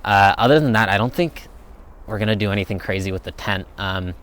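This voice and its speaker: A man's voice: fairly low pitch, a little fast, a bit bright, slight background noise. A young man answering viewer questions in a casual, rambling chat, thinking aloud as he goes. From United States. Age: young adult.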